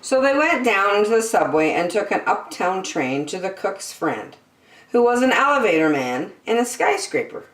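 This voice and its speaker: A woman hosting story time and reading a children's picture book aloud in a deliberate, expressive way for young listeners. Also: medium pitch. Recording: echoey room, distant microphone.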